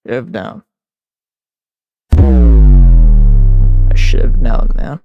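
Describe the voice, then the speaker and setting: Slow-talking, animated man reacting casually. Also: sits low, gravelly, dry recording, narrow, tinny audio, faint music behind. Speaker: reaction video host.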